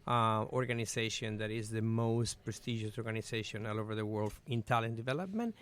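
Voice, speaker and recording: A middle-aged man with a Venezuelan Spanish accent answers questions in an earnest, thoughtful way. His voice sounds smooth and resonant. He often fills pauses with "eh" and "um.". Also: fairly low pitch, a bit bright. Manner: earnest, with frequent "eh" and "um" fillers. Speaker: guest. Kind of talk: conversational interview answers.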